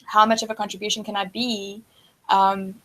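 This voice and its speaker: A woman's voice: mid-range voice, little pitch movement, moderate pace, fairly bright tone, rough recording. A woman hosting an interview channel, effusive and complimentary, piling on affirmations as she speaks. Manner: effusive and gushing, full of affirmations. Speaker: host. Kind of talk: warm spiritual interview hosting.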